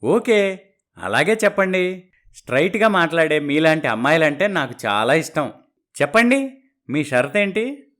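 A man playing a smooth-talking, boastful young groom who speechifies with English words thrown in, in a dramatized moral story. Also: fairly high pitch, big pitch swings, moderate pace, pauses often, fairly bright tone. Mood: pompous, earnest.

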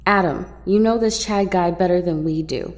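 A woman's voice: fairly low pitch, normal speed, a little mellow, close to an everyday voice. Woman playing a level-headed young heroine, reverberant. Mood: conciliatory. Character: level-headed young female peacemaking superhero. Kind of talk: audio drama acting.

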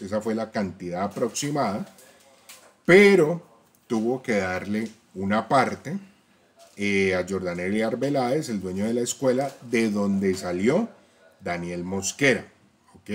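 A man hosting a football fan channel, talking straight to viewers about player stats and often checking in with a quick "¿ya?". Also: on the low side. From Colombia. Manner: talks to viewers directly, tag questions, stats-heavy. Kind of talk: casual fan-channel commentary.

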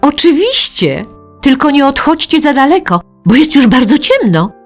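A caring, slightly scatterbrained mother, played by a woman in a children's audio drama. The voice is high and lively in intonation, fussing over little ones. Faint music sits underneath. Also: moderate pace. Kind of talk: children's Christmas audio play acting.

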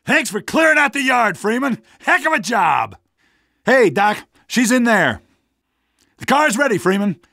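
An actor playing a cocky, stubborn rebel fighter in a video game, casual, streetwise and gravelly. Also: male voice, extremely high pitch, sing-song, a little slow, bright, forceful tone, no echo, clean studio sound, heavily acted. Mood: urgent, friendly.

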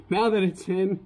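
A man's voice: high voice, darkish tone. A man in his forties hosting a casual cooking vlog on an outdoor porch, upbeat and laughing often. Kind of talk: casual chat.

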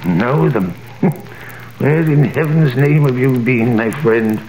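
An actor playing a bitter, gossipy older tailor in a 1930s radio drama, with a gravelly voice and a scoffing manner, heard as an echoey vintage broadcast. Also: male voice, medium pitch, slow, a little mellow, a little hiss or hum, close to an everyday voice.

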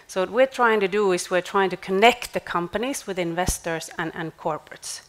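A woman, giving a measured conference pitch on stage and restarting words now and then. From Finland. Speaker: startup accelerator CEO.

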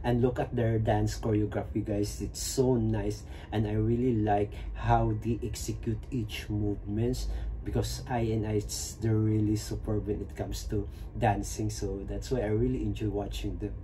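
A man's voice: on the low side, level tone, moderate pace, mellow, slight background noise, poor audio. A man in his thirties with a Filipino accent gives casual, enthusiastic, admiring reaction commentary as a video host. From Philippines. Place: small plain indoor room.

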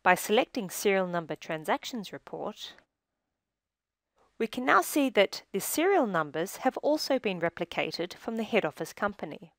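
A woman reading scripted software tutorial narration in a measured, instructional manner, recorded as a close-miked voice-over. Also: mid-range voice, sing-song, dry recording.

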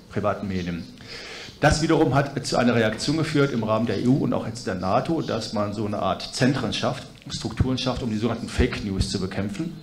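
Ein Mann aus dem Publikum spricht frei und stockend, mit vielen Füllauten, in mittlerer Stimmlage. Der Saal klingt hallig, darunter liegt ein leises Rauschen. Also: male voice, lowish voice. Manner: hesitant, many filler sounds. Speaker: audience member asking a question. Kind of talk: spontaneous remarks and question. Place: parliamentary conference room. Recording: roomy hall with faint noise.

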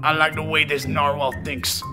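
A man's voice: fairly high pitch, level tone, normal speed, close to an everyday voice. A man playing a stern, smug government agent in a gaming roleplay. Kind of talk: scripted gaming roleplay acting. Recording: loud music under the voice. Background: loud music.

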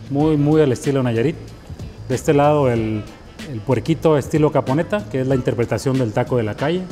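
A man with a Mexican accent presents a food travel show as its chef host. His pitch is middling for a man, and music plays behind his voice. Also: darkish tone. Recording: music under the voice. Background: background music.

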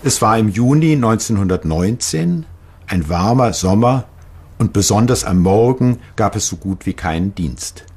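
An actor playing a physicist who reads his reflective memoir aloud in a measured, literary way. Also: fairly low pitch, animated, darkish tone, husky, exaggerated voice. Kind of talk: scripted first-person memoir reading.

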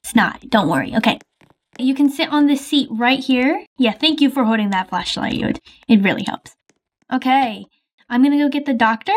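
A woman's voice: high voice, expressive, normal speed. A woman voicing a shady, pushy stranger in an improvised game roleplay, evasive and dismissive of questions.

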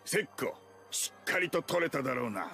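A gleefully cruel anime villain. He talks fast with a lively, coaxing swing in his pitch. Music plays behind him. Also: gravelly. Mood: sadistic glee. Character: sadistic, gleefully curious male villain, coaxing master. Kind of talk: Japanese anime acting.

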